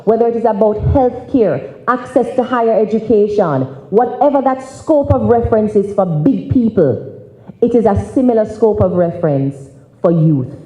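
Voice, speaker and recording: Mellow Jamaican woman speaking in echoing hall. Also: medium pitch, moderate pace. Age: young adult. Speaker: youth organisation president, speaker. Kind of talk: public speech at a conference. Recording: roomy, echoing conference hall.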